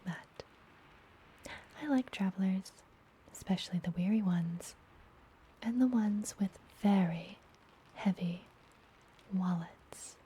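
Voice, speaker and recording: A woman playing a friendly, mysterious witch and healer in an ASMR fantasy roleplay, whispering softly and gently with a teasing manner. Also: medium pitch, a little hiss or hum, close to an everyday voice, rough recording. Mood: soothing, teasing. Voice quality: soft, breathy whisper. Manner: gentle, slow, playfully teasing.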